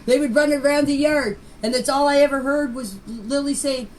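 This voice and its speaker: An older woman with a high-pitched voice shares personal memories. She talks in a plain, folksy way and circles back to repeat phrases. The amateur voice clip carries some background noise. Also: steady tone, moderate pace, poor audio. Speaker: grandmother recounting memories. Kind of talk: informal personal recollection.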